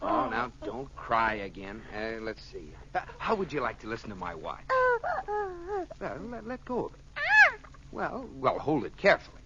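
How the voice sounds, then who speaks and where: Old noisy radio show, flustered sitcom husband, stammery and indignant, voice jumps all over. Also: male voice, mid-range voice, huge pitch swings, measured pace, muffled recording. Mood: flustered, coaxing. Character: exasperated, flustered suburban husband stuck minding a baby. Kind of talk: 1950s radio sitcom acting.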